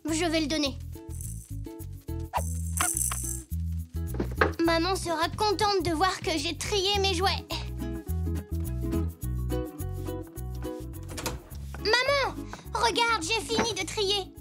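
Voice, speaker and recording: A young performer voicing an eager, easily embarrassed little zebra boy in a French preschool cartoon, with an earnest childlike voice. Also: a little slow. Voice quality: high childlike voice. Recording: music playing behind the voice.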